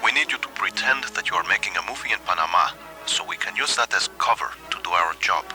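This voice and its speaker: A man acts out a menacing spymaster in a comic radio play. The voice is deep and very sharp, with formal, threatening phrasing. Faint music runs behind. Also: lively intonation, quick pace, full character voice. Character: menacing Latin American spymaster named Arturo. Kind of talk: satirical radio play acting.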